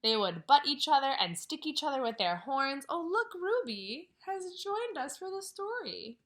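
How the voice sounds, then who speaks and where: Bright lively teacher lady reading to little kids from home, voice bouncing all over. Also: sits high, normal speed. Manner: animated, asks questions and answers them herself. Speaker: kindergarten teacher. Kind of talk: read-aloud lesson for young children.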